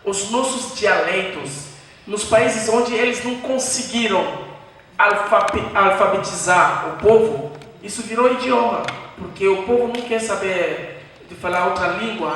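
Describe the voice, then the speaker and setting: A man hosting a post-screening discussion, explaining things at an unhurried pace and pausing to gather his thoughts. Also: sits high, a bit bright. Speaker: panel host. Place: screening room, large hall. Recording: echoey hall, distant, faint noise.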